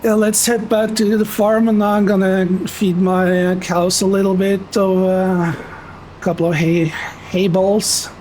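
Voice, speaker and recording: A young man with a Norwegian accent chats to his stream at an unhurried pace, full of "uh"s and "um"s. His voice sits a little higher than most men's. Faint noise hums behind him. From Norway. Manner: relaxed, chatty, many fillers, answers chat. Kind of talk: casual chat while gaming.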